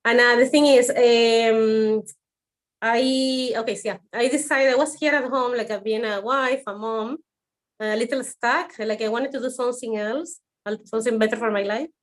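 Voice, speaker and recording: A woman's voice: a little high, fairly even intonation, moderate pace. A woman in her forties with a Spanish accent, a native Spanish speaker raised in Madrid, answering interview questions over a video call in a hesitant, slightly nervous way with many fillers. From Venezuela, raised in Madrid. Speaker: guest. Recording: music in the background.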